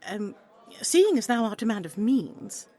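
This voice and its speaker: A woman's voice: on the high side, very animated, a little slow, dark tone. A woman voicing a bold, wily tavern seductress in an audio play, coaxing in formal archaic English. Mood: enticing.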